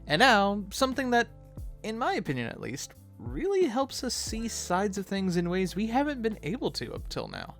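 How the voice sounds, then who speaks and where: A man's voice: high voice, wildly swooping pitch, normal speed, vocal fry, a bit bright, soft background music. A man co-hosting a fan show, delivering scripted back-and-forth commentary.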